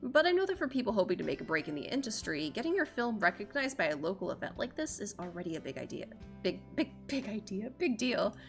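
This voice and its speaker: A woman's voice: mid-range voice, very animated, fast. A woman streamer reading a visual novel aloud with theatrical, casually sweary live commentary. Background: game music.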